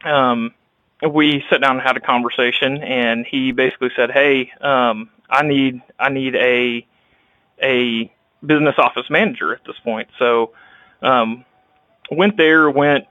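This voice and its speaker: A young man with a Texan accent, a clinic director telling his career story in a casual interview, halting with frequent restarts. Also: medium pitch, fairly even intonation, no room sound, narrow, tinny audio. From Texas. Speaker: guest, rural health clinic director.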